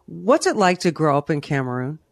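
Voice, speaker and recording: A woman hosting a podcast interview, chatty and warm in manner, restarting her questions as she goes. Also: sits low, very animated, brisk, gravelly, slight background noise. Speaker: podcast host.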